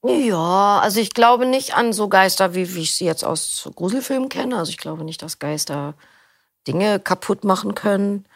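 A woman's voice: mid-range voice, expressive, normal speed, no room sound, studio quality. A middle-aged woman answering interview questions in a thoughtful, rambling way, often restarting her sentences. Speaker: interview guest, audiobook narrator.

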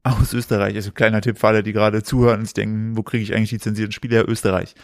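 A man's voice: fairly low pitch, level tone, very fast, gravelly, no room sound, clean studio sound. A man co-hosting a podcast with his wife, telling stories and teasing her with jokes he often has to explain. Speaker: podcast co-host. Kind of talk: casual chat, storytelling.

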